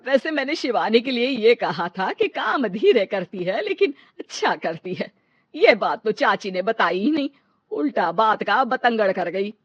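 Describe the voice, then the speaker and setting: A woman's voice: a little high, sing-song, unhurried, husky, no room sound, heavily acted. Woman voicing a fussing older mother-in-law, muffled sound. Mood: hurt. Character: older mother-in-law, homely, considerate, easily hurt.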